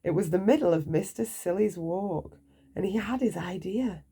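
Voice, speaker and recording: A woman narrating a children's bedtime story aloud, with deliberate, expressive phrasing for young listeners. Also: lowish voice, husky.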